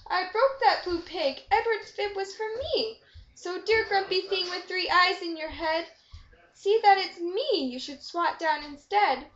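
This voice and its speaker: A woman's voice: very high-pitched, measured pace, a bit bright, pitched above the performer's own voice. A woman voicing a timid little sister from a storybook, small-voiced and pleading as she bravely owns up. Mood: pleading, earnest. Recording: dull echoey amateur room recording.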